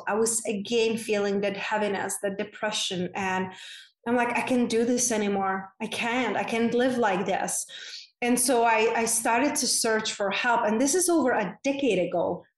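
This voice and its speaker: A woman in her forties from the Czech Republic with a Czech accent, a podcast guest talking earnestly and warmly about her life, using many fillers. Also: a little high, steady tone, moderate pace, reverberant. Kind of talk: casual interview chat.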